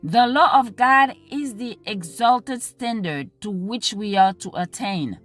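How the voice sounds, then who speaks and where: A woman reading a devotional text and scripture in a measured, deliberate manner, pausing between phrases. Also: mid-range voice, expressive, fairly bright tone. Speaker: devotional reader. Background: music under the voice.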